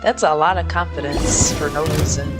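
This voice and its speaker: A woman's voice: sits low, fairly even intonation, normal speed. A young woman giving casual game commentary in a slangy, teasing, amused way. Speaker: game streamer. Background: game music.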